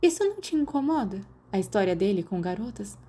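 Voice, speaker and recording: A woman's voice: medium pitch, big pitch swings, fairly quick, mellow, a little hiss or hum, close to an everyday voice. A woman with a Brazilian accent voicing a curious, blunt teenage girl in a story, asking pointed questions. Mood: prying. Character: curious, blunt teenage girl prying into friend's love life.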